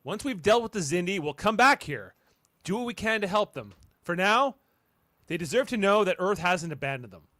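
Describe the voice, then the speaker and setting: A man playing an earnest, resolute starship captain in amateur radio theatre, speaking in a measured way. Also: high voice, big pitch swings, pushed and bright, no room sound, clean studio sound, exaggerated voice.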